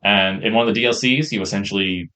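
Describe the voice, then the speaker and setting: A man co-hosting a podcast, reviewing games in a casual, enthusiastic ramble on a rough-sounding mic. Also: fairly low pitch, fast, bright, forceful tone. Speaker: podcast co-host. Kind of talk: casual chat, game review.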